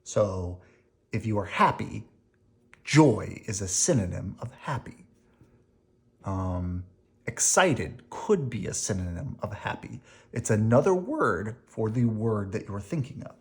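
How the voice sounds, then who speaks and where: Children's read-aloud narrator: unhurried, expressive, mid-pitched, with swooping, lively intonation. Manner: expressive, deliberate reading with dramatic emphasis. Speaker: storybook reader explaining words to children. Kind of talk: reading a children's picture book aloud.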